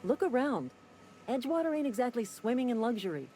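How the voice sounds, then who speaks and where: Blunt, weary small-town worker. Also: female voice, fairly high pitch, big pitch swings, a little fast, warm tone, slight background noise. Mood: exasperated. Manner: plain-spoken, folksy, pragmatic. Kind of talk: video game character dialogue.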